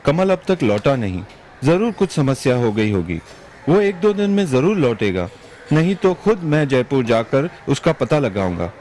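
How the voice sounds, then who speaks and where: A man playing a kind, honest moneylender in a moral-story cartoon, speaking in a gentle, earnest way. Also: mid-range voice, sing-song, moderate pace, slight background noise, natural voice. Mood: anxious, pleading. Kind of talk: animated moral-story dubbing.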